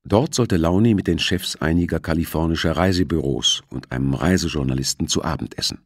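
Husky, deep-voiced man narrating. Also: brisk, no room sound, clean studio sound. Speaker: audiobook narrator. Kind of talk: scripted novel narration.

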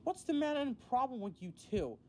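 A man's voice: extremely high pitch, sing-song, brisk, slight background noise, voice pushed up. A man voicing an earnest, emphatic diesel engine character who turns severe when wronged, in a children's story. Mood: stern, indignant. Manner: indignant, emphatic.

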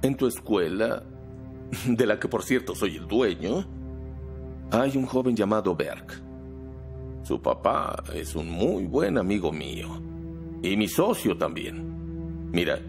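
Un actor de doblaje que interpreta a un empresario poderoso de mediana edad, calculador y coercitivo, que habla con cortesía medida y presiona. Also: male voice, fairly low pitch, very animated, slow pace, gravelly, long pauses, over music, full character voice. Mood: calculating, firm. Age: middle-aged. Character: middle-aged powerful businessman, smooth-talking, calculating and coercive. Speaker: dubbing actor in a TV drama. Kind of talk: dubbed television drama dialogue.

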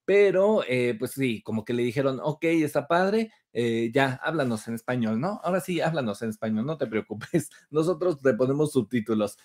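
He's a young man with a Mexican accent gossiping on a video call from his room at home. His voice sits at an ordinary middle pitch, and he talks in a lively, teasing way with quick laughs and mocking little asides. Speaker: co-host. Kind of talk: casual gossip chat.

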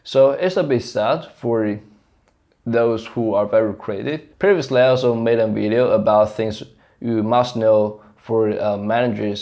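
A man's voice: on the low side, a little mellow. A young man from China with a Mandarin Chinese accent, speaking English as a second language, explaining his work to camera in an earnest way with many fillers and restarts. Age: young adult. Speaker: software engineer vlogger. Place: small plain indoor room. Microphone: lapel mic, camera in front.

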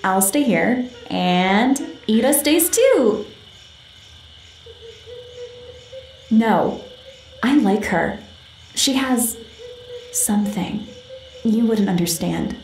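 A woman voicing an eerie, playful young ghost girl in a video game, possessive and teasing, speaking haltingly. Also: on the high side, lively intonation, darkish tone, a little hiss or hum. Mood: coy, possessive. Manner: halting, teasing, giggly, talks to unheard companions. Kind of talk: video game character acting. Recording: echoey room, music underneath. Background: game music.

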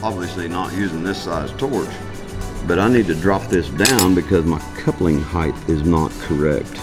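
A man's voice: low-pitched, moderate pace. A man in his fifties teaching welding in a large metal workshop, talking in a folksy, plainspoken way as he works and thinks aloud. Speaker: welding instructor. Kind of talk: hands-on shop demonstration. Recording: music bed under the voice.

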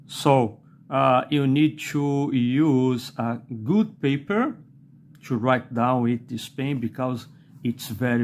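Slow-talking man with a Brazilian accent. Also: medium pitch, a little hiss or hum. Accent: Brazilian Portuguese. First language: not English. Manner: slow and halting, with many "uh"s and a questioning "okay?". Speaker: fountain pen reviewer. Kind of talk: unscripted product review while writing. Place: indoors at a desk.

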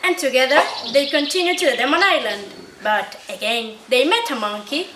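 A teenage young woman reads a story aloud. Her voice is high. The room is echoey, with clear noise behind her. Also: expressive, moderate pace, sharp tone. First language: Spanish or Galician. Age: teens. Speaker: student narrator of a puppet show. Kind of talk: scripted story narration. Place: indoor library-like room at a day care centre. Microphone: distant camera mic.

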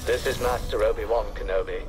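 A man's voice: lowish voice, steady tone, fast, over music, lightly acted. A man playing a dignified Jedi master delivering a formal, grave recorded message in a cinematic video game.

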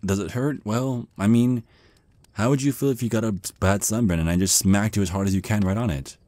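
A man acting out a shy, low-voiced stammering vampire. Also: a little fast, a little mellow, no echo, lightly acted. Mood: flustered, awkward. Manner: stammering, hesitant, flustered. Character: shy, nervous, smitten male vampire on a first date. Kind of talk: ASMR roleplay.

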